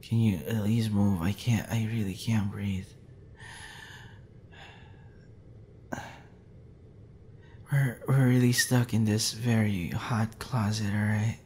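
A man's voice: lowish voice, fairly even intonation, mellow, slight background noise. A man playing a drunk, flustered young man with a tender streak, stammering and rambling in an intimate ASMR roleplay. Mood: drowsy, flustered. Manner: stammering, halting, tipsy rambling with breathy sighs.

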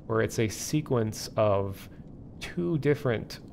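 Man explaining casually, faint rumble behind. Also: lively intonation, vocal fry, darkish tone. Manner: halting, thinking aloud. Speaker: livestream host. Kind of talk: casual live explainer, unscripted.

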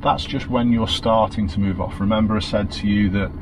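A man's voice: on the low side, fairly quick. Patient male driving instructor. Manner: calm, encouraging, checks understanding often. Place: inside a parked car. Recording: noisy car interior.

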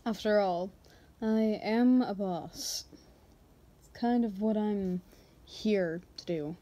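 Woman playing a slow-talking, teasing female boss. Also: mid-range voice, dark tone, lightly acted. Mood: composed, coy. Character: confident, teasing female boss confessing to an employee. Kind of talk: ASMR roleplay.

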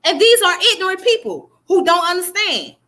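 Bright, high Southern woman lecturing. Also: expressive, normal speed. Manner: emphatic, preachy, keeps checking in with "okay?". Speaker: livestream history host. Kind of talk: casual livestream lecture. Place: small room indoors. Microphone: webcam close up.

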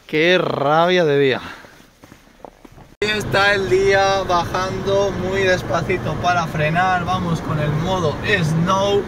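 A man's voice: sits high, steady tone, slow. A man in his thirties with a Castilian Spanish accent, chatting informally and expressively as he vlogs on a handheld phone. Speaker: vlogger. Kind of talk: casual vlog narration and chat. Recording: noisy handheld on-location recording.

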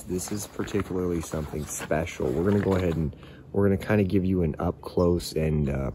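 A middle-aged man hosting a fishing channel, reviewing a lure to camera in a casual, rambling, enthusiastic way while sitting outdoors in a pine forest. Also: low voice. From California. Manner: relaxed, rambling, enthusiastic about gear. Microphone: camera at a distance. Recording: distant outdoor mic with background noise.

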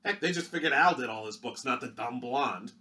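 A man's voice: medium pitch, animated, brisk, sharp tone. A man voicing a sassy young woman secretary of the 1930s, breezy and slangy.

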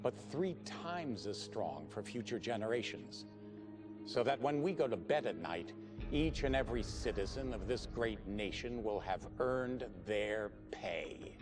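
An actor playing a solemn American president in his sixties, giving a measured, formal speech with emphatic pauses and an American accent. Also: lowish voice, expressive, music in the background. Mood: rousing resolve. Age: 60s. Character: stately, solemn older male American president. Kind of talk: formal televised speech, acted.

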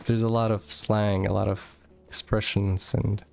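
A man's voice: on the low side, little pitch movement, unhurried, gravelly, darkish tone, soft background music. A young man from Moldova, chatting casually while streaming a game, swearing freely and reading chat aloud. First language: Russian.

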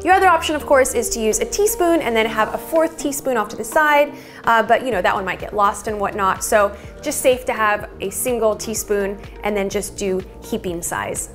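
A woman's voice: a little high, moderate pace, over music. A woman in her thirties, a bubble tea shop owner, giving an upbeat, chatty recipe tutorial to camera in a small shop kitchen.

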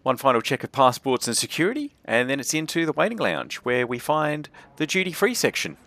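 A man's voice: mid-range voice, big pitch swings, fairly quick, a bit bright, dry recording. A man narrating his travel vlog in a chatty, wry voice-over. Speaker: travel vlogger. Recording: music bed under the voice.

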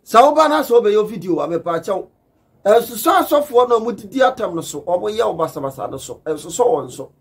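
A man's voice: high-pitched, expressive, fairly quick, husky. A man in his thirties with a Ghanaian accent, a preacher speaking straight to camera indoors in an emphatic, pleading, admonishing way. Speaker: preacher giving advice to camera. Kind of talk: direct-to-camera admonishing talk. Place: furnished living room indoors.

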